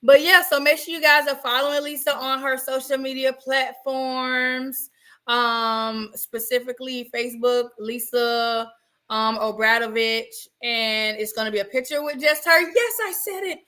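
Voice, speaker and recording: A woman from Florida hosting a casual livestream chat, chatty and animated, talking straight to her viewers. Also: sits high, unhurried. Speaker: host.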